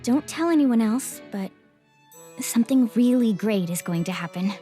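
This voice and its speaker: A woman voicing a reserved, gentle young event guide with a hesitant, halting manner in an English anime game dub. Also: a little high, expressive, soft background music, natural voice. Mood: secretive.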